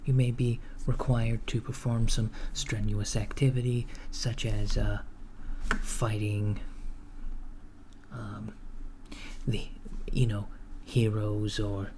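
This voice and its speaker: A man in an ASMR roleplay playing a mild-mannered corporate recruiter of henchmen, soft-spoken, hesitant and politely matter-of-fact. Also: on the low side, little pitch movement, slow pace, background noise. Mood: polite, businesslike. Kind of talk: ASMR roleplay, job interview.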